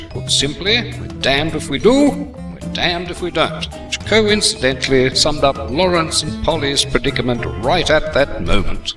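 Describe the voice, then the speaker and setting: A man's voice: a little high, far from the mic. A man giving sardonic, satirical scripted narration. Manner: sardonic political asides.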